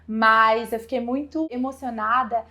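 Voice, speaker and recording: A woman's voice: on the high side, fairly even intonation, measured pace, bright, forceful tone. A woman hosting an informal video interview, speaking effusively and directly to viewers. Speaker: host interviewing a guest. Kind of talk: informal video channel introduction and conversation.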